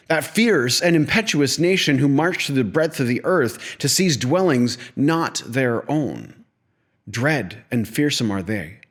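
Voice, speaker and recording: A man of middling pitch reads scripture aloud at a slow pace. His delivery is scripted and solemn, with deliberate phrasing and measured pauses, as for an audio Bible.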